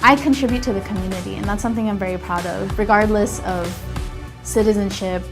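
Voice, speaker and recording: A woman's voice: medium pitch, steady tone, fast. Candid young woman over music. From Mexico. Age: young adult. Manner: candid, casual speech. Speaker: interviewee. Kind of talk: documentary interview.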